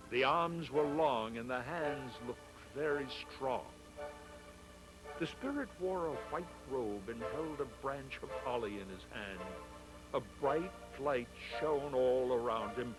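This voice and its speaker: A man narrating a scripted storybook in a measured, dramatic storytelling manner. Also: medium pitch, poor audio.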